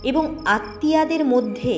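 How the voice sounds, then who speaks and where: A woman reading a scripted first-person ghost story in a measured, expressive storytelling manner. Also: high voice, soft background music. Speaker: audio story narrator.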